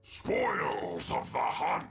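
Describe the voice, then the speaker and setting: A man voicing a savage, proud beast hunter in a video game, with a gravelly, growling voice and menacing delivery. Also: sits high, sing-song, drawn-out words, a bit bright, faint music behind, exaggerated voice. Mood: gloating, predatory. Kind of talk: video game character voice lines.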